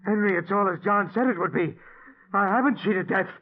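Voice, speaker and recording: A man's voice: sits high, fairly quick. An anxious man haunted by death. He speaks with fearful, fervent drama in a 1940s style. The sound is that of a dull, band-limited old broadcast. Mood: terrified. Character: anxious, doomed man obsessed with cheating death. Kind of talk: 1940s radio acting. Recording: muffled vintage radio recording.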